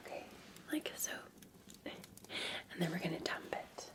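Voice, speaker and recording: A Scottish woman in a gentle, unhurried ASMR roleplay, whispering breathily as a café barista talking to a customer.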